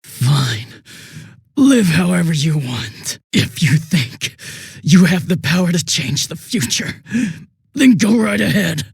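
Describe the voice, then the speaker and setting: An American man playing a cynical, bitter young villain in a video game, with a husky voice and a flippant, contemptuous manner. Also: a little high, very animated, slow, no room sound, studio quality, full character voice. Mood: defeated, sulky. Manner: flippant, mocking, contemptuous drawl. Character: cynical, bitter, sneering young male villain turning unhinged.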